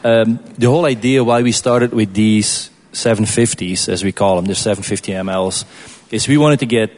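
A man from Belgium, speaking as a guest brewer in an informal question-and-answer session, in a casual, halting way. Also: on the low side, darkish tone.

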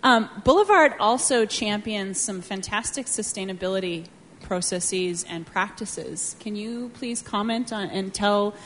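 A woman's voice: mid-range voice, measured pace, hardly any pauses, a little hiss or hum. A woman hosting an informal live question-and-answer talk in a chatty, encouraging way. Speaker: host, moderator.

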